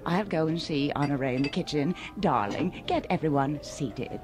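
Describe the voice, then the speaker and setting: Low-voiced, prying society hostess played by a woman. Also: normal speed, music in the background, close to an everyday voice. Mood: brisk, gracious. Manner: polite but pointed, controlling. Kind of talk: radio drama acting.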